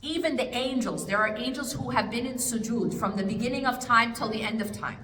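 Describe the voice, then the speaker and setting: Woman teaching a study circle, bright slightly high voice, lots of rhetorical questions, roomy hall echo. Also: fairly quick. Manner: conversational, rhetorical questions, coaxing. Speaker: speaker at an Islamic study circle. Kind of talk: lecture answering an audience question. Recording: echoey hall with faint noise.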